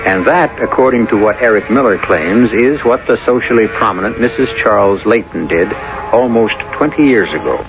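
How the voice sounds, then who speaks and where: Grave male mystery-show host, moralizing and deliberate, lively inflection, muffled old radio with music behind. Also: fairly low pitch. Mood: grave, ominous. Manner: measured, deliberate, portentous. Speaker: host and narrator. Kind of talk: dramatic old-time radio narration.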